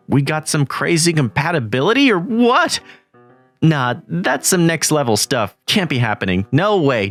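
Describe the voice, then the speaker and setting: Lively man narrating a manga story. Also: a little high. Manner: flustered, jumpy exclamations. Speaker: narrator and lead voice in a manga dub. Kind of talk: first-person story narration.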